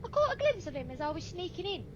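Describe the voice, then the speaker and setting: A woman's voice: very high-pitched, very animated, fast. A woman playing a plucky, earnest nine-year-old boy in a radio play, with old-fashioned urchin phrasing. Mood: chirpy. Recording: dull, lo-fi, faintly noisy recording.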